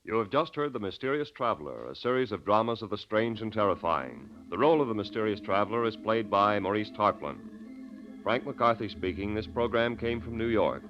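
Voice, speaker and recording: A man's voice: on the low side, animated, fairly quick. A man announcing formally in 1940s radio style. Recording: dull vintage radio, loud music behind.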